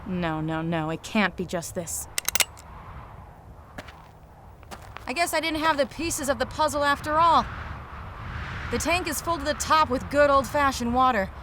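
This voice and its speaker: A woman playing a hard-boiled, world-weary private eye in a noir audio drama, terse, wry and deadpan. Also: sits high, moderate pace, a little hiss or hum. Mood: dismayed, bitter.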